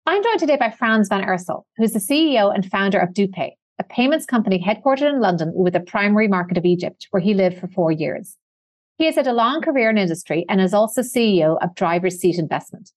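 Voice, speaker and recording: A woman's voice: medium pitch, expressive, fast, no room sound. A woman hosting a podcast, reading a polished introduction and asking composed interview questions. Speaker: podcast host.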